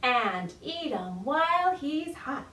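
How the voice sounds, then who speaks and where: High-voiced woman leading storytime in an echoey room. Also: very animated, slow, heavy background noise. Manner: playful, encouraging, simple child-directed phrasing. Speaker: children's librarian leading baby storytime. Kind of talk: sing-song storytime with rhymes, songs and tips to parents. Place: indoor room, recorded virtual session. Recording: echoey room, distant microphone.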